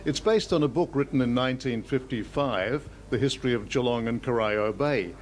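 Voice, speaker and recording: A man's voice: mid-range voice, normal speed, a little hiss or hum. A man reporting and interviewing for local TV news in a conversational, folksy way.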